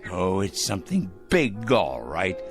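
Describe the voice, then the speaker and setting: A man's voice: sits low, huge pitch swings, a little slow, soft background music, exaggerated voice. An actor playing a paranoid, smug middle-aged man in a 1960s TV drama, talking theatrically to his pet bird. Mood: scheming. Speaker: fanatical letter-writing crank.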